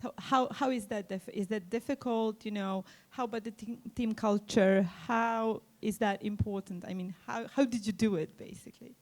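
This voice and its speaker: A woman's voice: medium pitch, fairly even intonation, normal speed, few pauses, darkish tone. A woman interviewing a guest on a conference stage, asking chatty, enthusiastic questions.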